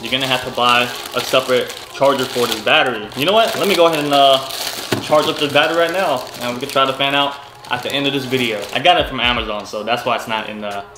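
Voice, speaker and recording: A young man casually reviewing tools in his home garage, excitable and slangy, talking straight to a desk camera. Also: medium pitch, fast, background noise. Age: young adult. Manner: excitable, slangy, laughs often. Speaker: car and tool vlogger. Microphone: camera on desk, seated facing it. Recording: echoey garage with background music.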